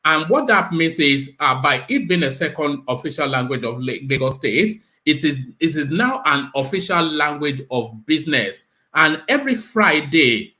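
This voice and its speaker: A man on a live panel, excitable, often stumbling and cutting in. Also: mid-range voice.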